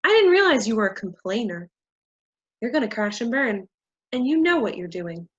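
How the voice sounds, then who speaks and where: An actress in a staged play reading, playing a haughty, paranoid boss of a scheme with condescending theatrical delivery. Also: female voice, fairly high pitch, big pitch swings, normal speed, husky, lo-fi sound. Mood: scornful.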